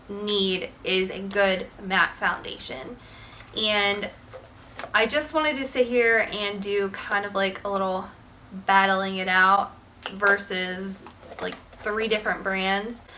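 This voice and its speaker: A woman's voice: medium pitch, pushed and bright, slight background noise, low-quality recording. A young woman chatting casually as a beauty vlogger, candid and full of ums and likes, sounding slightly nasal from a sinus infection. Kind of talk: casual product review chat.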